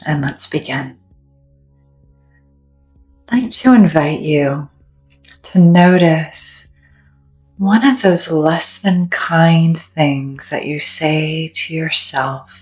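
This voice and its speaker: A woman leading a guided meditation in a gentle, soothing, deliberate way, leaving long pauses between phrases. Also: low voice, poor audio. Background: soft background music.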